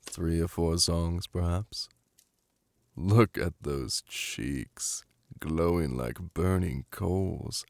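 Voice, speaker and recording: A man playing a very deep, gravelly demon prince. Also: extremely slow, darkish tone, no room sound, clean studio sound, full character voice. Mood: seductive, teasing. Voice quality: gravelly, breathy. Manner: languid, purring, imperious endearments. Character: suave, flirtatious demon prince, lordly and teasing. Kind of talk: ASMR roleplay audio drama. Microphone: close, dry microphone.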